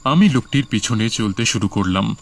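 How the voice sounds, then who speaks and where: A man's voice: on the low side, lively intonation, normal speed, vocal fry. A man telling a first-person horror story as scripted cartoon narration. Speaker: narrator and lead character.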